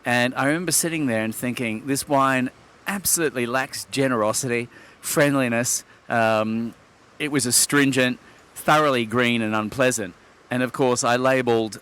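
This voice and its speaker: A man's voice: mid-range voice, fairly bright tone, clear, resonant tone. A middle-aged man from Sydney, Australia, a wine expert guest, speaking in articulate, wordy sentences with hesitant fillers. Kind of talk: relaxed interview talk.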